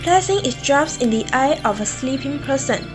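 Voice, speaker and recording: Radio play sprite, woman as an eager, impish fairy attendant, high voice over clear music. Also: lively intonation, normal speed. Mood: excited, knowing. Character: eager fairy servant, flattering and mischievous. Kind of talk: amateur radio drama acting.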